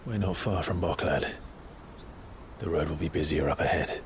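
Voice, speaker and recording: A man playing a brooding, earnest noble warrior in a video game, talking in a quiet, reflective way. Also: a bass voice, normal speed, background noise. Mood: guarded, low. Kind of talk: dramatic video game cutscene acting.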